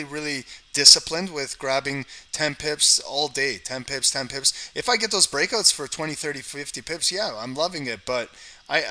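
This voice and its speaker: A man's voice: fairly high pitch, bright, forceful tone, low-quality recording. A man from Canada hosting a live trading stream, chatting casually, talking to his viewers in the chat and tagging lines with "okay" and "guys.". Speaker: trading livestream host.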